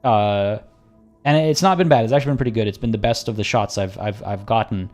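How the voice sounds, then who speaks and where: A man streaming a video game, chatting casually with viewers in a rambling way, and slightly hoarse, as if losing his voice. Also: fairly low pitch, brisk, a little mellow. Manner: rambling and chatty, frequent restarts. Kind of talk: casual livestream commentary.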